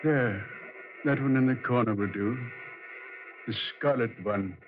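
An actor playing a sinister, fastidious man with a deliberate, menacing manner in 1940s radio style. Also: mid-range voice, music in the background, close to an everyday voice. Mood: sinister calm. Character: sinister, fastidious male customer with a taste for blood. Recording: dull, muffled 1940s radio recording.

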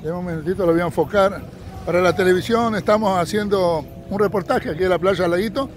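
A man's voice: high-pitched, brisk, faint music behind. A man walking a beach as a travel vlogger, talking upbeat to his viewers and recommending places. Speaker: vlogger and tour guide. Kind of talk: casual walking travel vlog. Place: sunny beach outdoors. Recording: outdoor beach noise in background.

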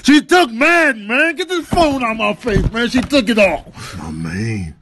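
A man performs a whiny male lion unlucky in love. The voice is high and swoops around with pleading, drawn-out words. It plays as an overwrought comedy animal voiceover. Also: big pitch swings, sharp tone, slight background noise, heavily acted. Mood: heartbroken.

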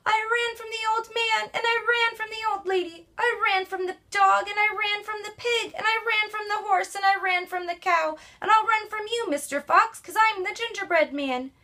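A woman's voice: very high voice, moderate pace, few pauses, pushed and bright, voice pushed up, heavily acted. A woman voicing a cocky little runaway gingerbread man who chants his boasts in a sing-song way. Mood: taunting.

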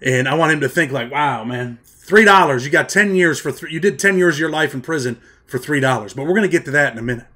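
A man's voice: fairly high pitch, expressive, fast talker, few pauses, pushed and bright. A man in his thirties hosting an interview over a video call, earnestly pressing home lessons for young viewers. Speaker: host. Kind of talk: interview questions and commentary. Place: indoors, plain room, video call.

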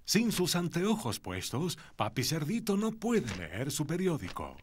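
A man playing a friendly storybook narrator for a preschool cartoon, explaining things simply and clearly. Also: on the high side, huge pitch swings, measured pace, noisy background. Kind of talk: scripted children's narration.

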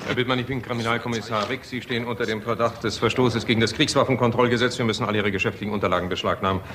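An actor playing a brisk, frustrated police inspector in a crime radio play, curt and cynical. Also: male voice, medium pitch, fairly even intonation, fairly bright tone, slight background noise, natural voice. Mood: brisk, official.

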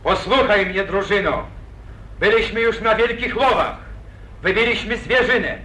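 An actor playing a boastful young hero of an old epic, declaiming verse with pathos in 1940s radio acting. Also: male voice, high voice, unhurried, pushed and bright. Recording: echoey old radio recording.

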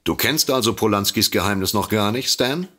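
A deep, droll, husky sidekick. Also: male voice, expressive, brisk, pushed and bright. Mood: smug. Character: droll, self-satisfied male writer and detective's sidekick. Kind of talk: comedic audio drama acting.

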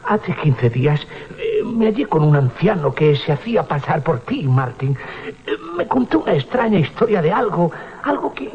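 An actor playing a frightened, stooped, cursed man with a quavering voice and halting pleas in a radio horror drama. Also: a little high, sing-song. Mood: anxious, halting. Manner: halting, pleading. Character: Max Burke, frightened stooped man cursed with sudden aging. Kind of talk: 1980s Spanish radio horror drama acting. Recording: dull 1980s radio recording.

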